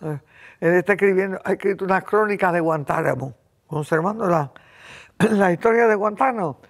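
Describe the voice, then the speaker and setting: Older Cuban woman host in her 80s, passionate and rambling, keeps clearing her throat. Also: fairly low pitch, a little fast. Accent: Cuban Spanish. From Cuba. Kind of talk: casual conversation.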